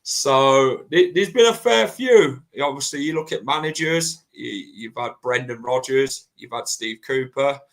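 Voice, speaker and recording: A man's voice: medium pitch, a little slow, hardly any pauses, a bit bright. A man hosting a casual football chat, blunt and chatty with mild swearing. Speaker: podcast host.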